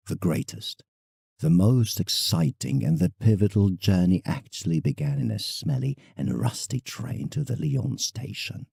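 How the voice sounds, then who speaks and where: A man playing an old painter in an audio drama, reflective and enraptured, reading his letter theatrically with musing pauses. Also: low-pitched, vocal fry, dark tone, slightly rough, no room sound, studio quality, heavily acted. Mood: fond, reminiscing.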